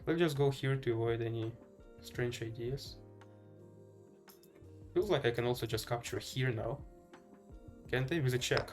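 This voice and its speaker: A man's voice: mid-range voice, unhurried, pauses often, a little mellow, faint music behind. A man from Ukraine with a Ukrainian accent, giving casual live game commentary and chatting to viewers in a hesitant, thinking-aloud way. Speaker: live streamer playing chess.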